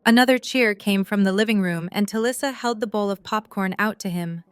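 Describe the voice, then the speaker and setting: A woman's voice: mid-range voice, brisk, clear, resonant tone, no room sound. A woman reading scripted story narration as the narrator of an audio drama.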